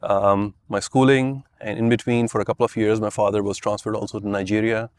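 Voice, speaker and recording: Low-voiced Pakistani man telling his story. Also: flat delivery, a little fast, slight background noise. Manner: rambling, many "you know" and "I mean" fillers. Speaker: guest. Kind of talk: relaxed interview answers.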